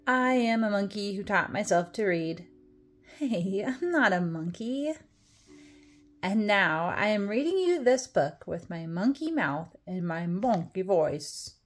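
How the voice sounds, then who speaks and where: Slow, playful woman reading a storybook. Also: mid-range voice, animated. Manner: playful, exaggerated mock dismay, dramatic drawn-out words and whispers. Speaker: storytime reader. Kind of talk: animated children's picture book read-aloud.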